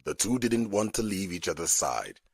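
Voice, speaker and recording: Deep-voiced male storyteller with animated delivery. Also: moderate pace, breathy edge, dry recording, clean studio sound. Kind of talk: scripted narration of an audio folk tale. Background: background music.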